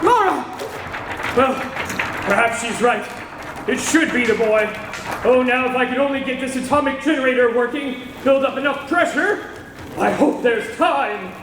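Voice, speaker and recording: A man's voice: very high voice, lively intonation, moderate pace, pauses often. A man playing a desperate, melodramatic scientist father on a doomed alien planet in a staged old-time radio serial. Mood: urgent. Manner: melodramatic, urgent exclamations. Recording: echoey noisy convention hall sound.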